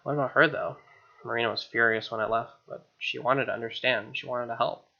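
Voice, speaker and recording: A male let's play host reads story text aloud and drops in wry, casual comments. His voice is bright and forceful with plenty of fry, on a somewhat dull, band-limited recording. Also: mid-range voice, fairly even intonation, a little fast.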